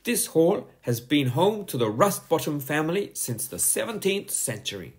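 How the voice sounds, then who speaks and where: A man's voice: a little high, sing-song, normal speed, voice pushed up. A man voicing a stern, bossy woman schoolteacher who snaps and thunders her orders. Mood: proud, snippy. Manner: snapping, thundering commands.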